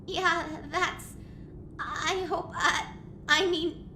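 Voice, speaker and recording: High, halting, nervous scientist monster played by a woman. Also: steady tone, sharp tone, a little hiss or hum, full character voice. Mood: flustered. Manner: hesitant, halting, timid. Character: nervous, awkward female monster royal scientist. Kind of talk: comic dub voice acting. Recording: echoey, music behind.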